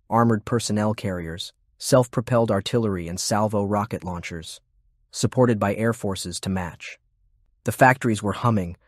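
A man's voice: on the low side, a little mellow, dry recording, clean studio sound. A man reading scripted war fiction as an audiobook narrator.

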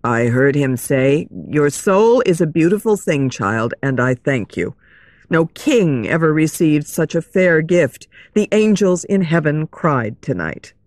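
A woman's voice: low voice, animated, measured pace, darkish tone, no room sound, clean studio sound. A woman playing an earnest, lovesick young nobleman, wounded and insistent, in an audiobook story. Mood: intense.

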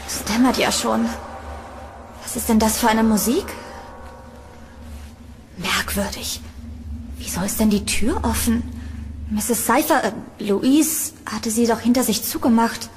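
A woman playing a young student in a horror audio drama, speaking in an expressive, chatty way. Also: on the high side, unhurried, pauses often, over music. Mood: puzzled, drowsy. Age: young adult.

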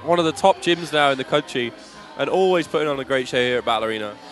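A British man giving live MMA commentary, excitable, reacting in quick bursts of praise. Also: medium pitch, soft background music. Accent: British English.

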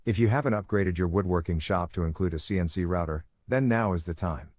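A man narrating a scripted how-to voice-over in an even, promotional read. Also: sits low, a little fast, dry recording.

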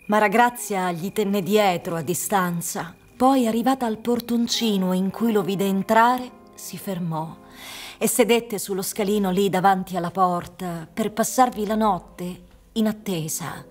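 A woman's voice: medium pitch, a little slow, faint music behind, natural voice. A woman narrating a radio drama as a composed storyteller, reading from a script.

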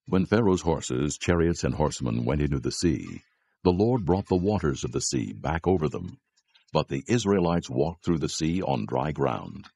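A man narrating scripture from a script, in a measured storytelling manner. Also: a bass voice, lively intonation, gravelly, dark tone, slightly rough.

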